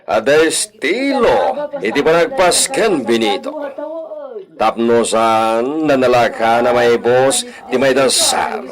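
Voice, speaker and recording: A dignified master of a hacienda acted by a man in a radio drama. He speaks slowly and commandingly with big swings in pitch, over a murmuring crowd. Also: expressive. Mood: instructing. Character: dignified hacienda master, respectful adult son. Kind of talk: Ilocano radio drama acting.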